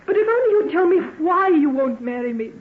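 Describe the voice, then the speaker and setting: An actress playing a refined, earnest, loving gentlewoman in old-time radio drama. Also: very high-pitched, lively intonation, a little fast, a little hiss or hum. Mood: hurt, pleading. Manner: genteel, earnest. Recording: thin, phone-like old radio recording.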